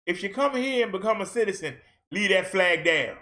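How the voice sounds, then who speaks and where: A man rants on camera in a casual, colloquial way, pelting listeners with rhetorical questions. His voice sits high for a man and rings bright and forceful. Now and then he breaks into a shout. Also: animated, brisk. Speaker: vlogger. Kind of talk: ranting social commentary.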